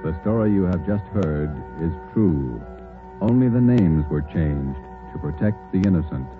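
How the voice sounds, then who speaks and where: An American man announcing a 1940s radio programme from a script, measured and solemn. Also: low voice, animated, phone-quality sound. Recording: old radio recording, music behind.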